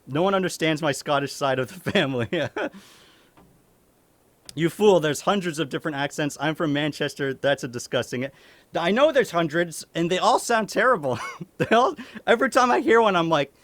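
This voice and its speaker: A man's voice: on the high side, lively intonation, normal speed, fairly bright tone, no echo. A young man with a light Maine accent, a streamer casually answering chat questions at home, chuckling often and swearing casually. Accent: light Maine (New England).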